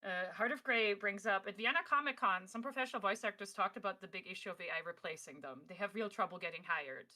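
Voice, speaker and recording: A woman talking casually and emphatically on a livestream panel, with an encouraging, animated manner. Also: medium pitch, quick pace, few pauses. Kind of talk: casual panel talk, giving advice and opinion.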